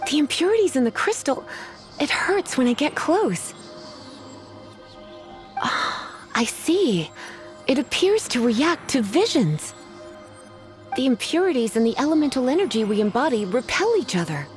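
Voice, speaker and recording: A teasing, sultry librarian mage. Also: female voice, high-pitched, sing-song, unhurried, pauses often, faint music behind. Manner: languid, teasing. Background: game score.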